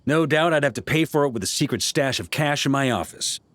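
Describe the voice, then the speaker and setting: An actor playing a seasoned, easygoing knight grandmaster in a video game, speaking in a laid-back, wry way. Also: male voice, mid-range voice, animated, a little fast, a bit bright, dry recording, lightly acted. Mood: playful. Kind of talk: video game character dialogue.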